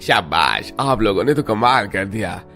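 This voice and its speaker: A man's voice: on the low side, lively intonation, a little slow, bright, forceful tone, soft background music, heavily acted. A man voicing a kindly, reassuring wealthy village merchant in a cartoon story. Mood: pleased, kindly.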